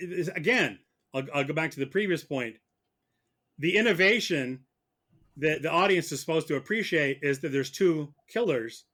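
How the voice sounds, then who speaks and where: A man arguing as a mock prosecutor in a podcast debate, halting and repeating words as he presses his point. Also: on the high side, a bit bright. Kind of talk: comic debate on a film podcast.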